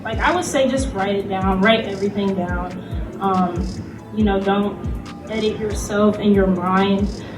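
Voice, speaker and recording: A woman's voice: medium pitch, fairly even intonation, a little slow. Echoey, distant woman presenting at a panel. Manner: hesitant, conversational, frequent "like" as filler. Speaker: convention panelist. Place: convention panel room. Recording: echoey room from far off, music behind.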